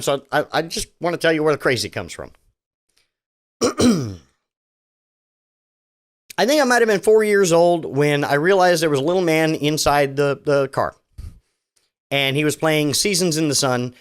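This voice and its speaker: A middle-aged man rambles through a casual solo podcast, sarcastic and full of restarts. His voice sits a touch high for a man and swings widely in pitch as he talks. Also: a bit bright. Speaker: podcast host.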